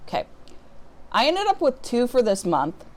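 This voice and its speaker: A woman's voice: on the high side, huge pitch swings, normal speed, darkish tone. A woman chatting casually to viewers as a book vlogger, talking in an informal, self-deprecating way.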